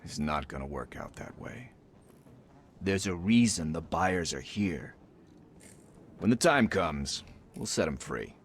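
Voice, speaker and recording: A man playing a world-weary gang leader in a video game, calm, resigned and quietly threatening. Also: low-pitched, slow, pauses often, slight background noise, full character voice. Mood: wary menace. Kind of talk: video game cutscene acting.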